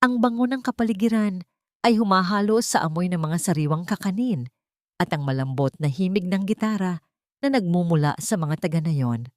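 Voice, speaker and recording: A woman narrating a scripted emotional story in a slow, solemn, sentimental manner. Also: fairly low pitch, a little mellow, dry recording, studio quality.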